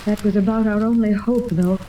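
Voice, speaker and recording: A woman's voice: medium pitch, brisk, close to an everyday voice. An actress playing an earnest middle-aged lady of means in a 1930s radio serial. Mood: disappointed. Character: wealthy, earnest mother searching for her lost daughter. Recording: noisy old radio recording, steady crackle.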